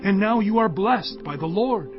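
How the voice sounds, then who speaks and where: A man playing a forceful, indignant Philistine king in a dramatized scripture reading, speaking in an emphatic, declamatory way. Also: sits high, expressive, normal speed. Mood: earnest appeal. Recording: dull studio recording under music.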